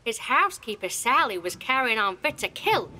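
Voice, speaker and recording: A woman's voice: on the high side, very animated, normal speed, pushed and bright, full character voice. A woman playing a terrified stammering villager. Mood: shaken. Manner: breathless stammering panic. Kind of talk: horror audio drama acting.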